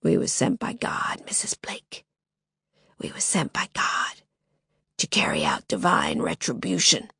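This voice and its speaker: A woman's voice: huge pitch swings, measured pace, gravelly, fairly bright tone, breathy edge, exaggerated voice. Woman doing a harsh-voiced masked male intruder. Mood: menacing. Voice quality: thick and harsh. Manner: low menacing whisper.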